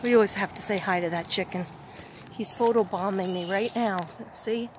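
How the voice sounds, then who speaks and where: A woman of middle pitch chats into her phone while walking outside, wandering from thought to thought and restarting her sentences. Faint street noise sits behind her voice. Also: normal speed. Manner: rambling, restarts often, talks to her phone. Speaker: vlogger. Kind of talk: walking vlog, casual chat. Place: outdoors on a neighborhood street. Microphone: handheld phone.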